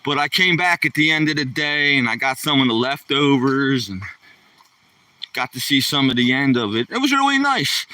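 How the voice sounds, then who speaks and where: Folksy 60s male streamer, slangy rambler, bright tense voice on a phone mic that drifts off now and then. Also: fairly high pitch, dry recording, distant mic. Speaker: live streamer. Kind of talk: casual live-stream chat while walking his dog. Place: outdoors on a neighborhood walk. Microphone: handheld phone.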